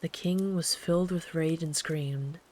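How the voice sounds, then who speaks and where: A woman playing a kind, protective traveler in an ASMR sleep-aid roleplay, speaking gently and soothingly in a hushed, partly whispered voice. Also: deep voice, fairly even intonation, measured pace. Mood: hushed, storytelling. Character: kind, protective traveler comforting a runaway by a campfire.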